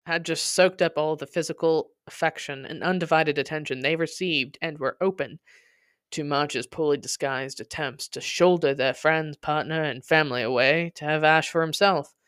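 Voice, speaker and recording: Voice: medium pitch, normal speed, fairly bright tone, clear, resonant tone, dry recording, studio quality. A podcast host reading a scripted personal narrative aloud with dramatic, emphatic delivery.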